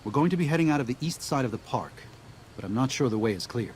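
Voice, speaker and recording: A man playing an earnest, gentle missionary in a video game, talking in a mild, sincere and slightly hesitant way. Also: medium pitch, expressive, fast talker, very noisy, close to an everyday voice. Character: earnest, gentle missionary leader guiding wasteland tribes.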